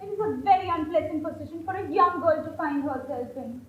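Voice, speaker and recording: A young woman playing a sharp-tongued Victorian country girl in a stage play, theatrical and pointedly polite. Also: extremely high pitch, brisk, dull audio. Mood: dismayed. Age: young adult. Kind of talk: amateur stage play performance. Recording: echoey stage, faint noise.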